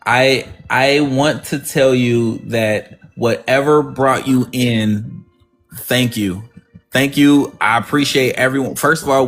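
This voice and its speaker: A man hosts a relaxed livestream on positivity. He is effusive and keeps repeating words of thanks. His voice sits at an ordinary pitch over faint background music. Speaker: livestream host. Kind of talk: casual positive-affirmation talk.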